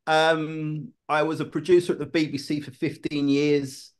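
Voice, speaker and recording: A man's voice: on the high side, little pitch movement, moderate pace. Thoughtful man talking as a guest. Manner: thoughtful, restarts phrases. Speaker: guest, former TV producer. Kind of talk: casual conversation.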